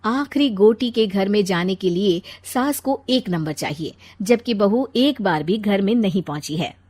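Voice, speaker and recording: Animated woman narrating a story. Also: mid-range voice, unhurried.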